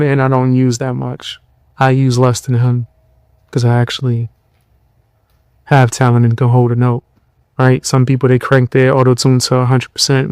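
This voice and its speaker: A tired young man hosting a podcast, giving blunt, mocking commentary in loose slang with a low voice. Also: a little mellow, clean tone, dry recording, clean studio sound. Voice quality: lower voice. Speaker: podcast host. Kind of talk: casual commentary.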